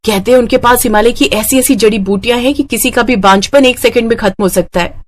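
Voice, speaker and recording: A woman's voice: a little high, brisk, lightly acted. A woman voicing a concerned, confidently helpful young female friend in a cartoon moral story.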